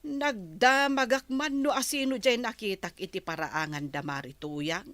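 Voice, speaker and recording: A woman's voice: mid-range voice, unhurried, fairly bright tone, dry recording, close to an everyday voice. An actress playing a curious neighbor woman who gossips about a visitor. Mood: gossiping. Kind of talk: Ilocano radio drama acting.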